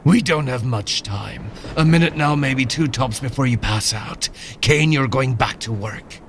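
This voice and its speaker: An actor playing a cold, controlling cybernetic colonel in a sci-fi audio drama, measured and calmly commanding. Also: male voice, mid-range voice, a little hiss or hum, lightly acted. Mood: urgent, controlled.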